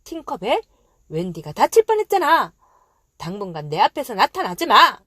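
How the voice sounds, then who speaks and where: A woman's voice: fairly high pitch, wildly swooping pitch, moderate pace, pushed and bright, no room sound, studio quality, heavily acted. A woman voicing a brave, commanding young boy hero in a children's storybook reading. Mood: angry, scolding. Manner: bold, spirited, commanding. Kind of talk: children's storybook read-aloud.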